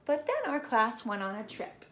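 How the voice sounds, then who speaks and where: A woman reading a children's storybook aloud expressively, with deliberate phrasing and playful emphasis. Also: fairly high pitch, huge pitch swings, a bit bright. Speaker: read-aloud presenter. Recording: echoey room with faint noise.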